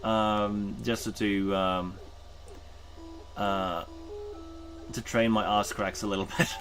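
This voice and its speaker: A man's voice: fairly low pitch, little pitch movement, takes it slowly, a bit bright, soft background music. A Norwegian man chatting casually while streaming a game, rambling with many ums and uhs and correcting himself. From Norway. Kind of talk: casual chat while gaming.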